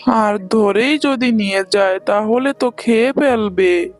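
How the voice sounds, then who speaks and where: Lady doing a sad doting old village granny for a cartoon, drawn-out lamenting, music behind. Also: medium pitch, lightly acted. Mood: worried. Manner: plaintive, lamenting. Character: poor, lonely, doting old village woman. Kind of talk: children's cartoon voice acting.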